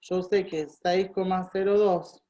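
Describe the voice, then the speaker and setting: A woman's voice: on the low side, steady tone, slow pace, warm tone. A woman tutoring chemistry, patiently working through calculations step by step and thinking aloud. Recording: dull lo-fi sound.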